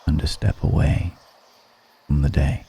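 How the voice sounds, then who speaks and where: Vampire lord for ASMR roleplay, male actor: extremely low, dark and gravelly, seductive, solemn, hushed delivery. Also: slight background noise, heavily acted. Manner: slow, deliberate, hushed, solemn.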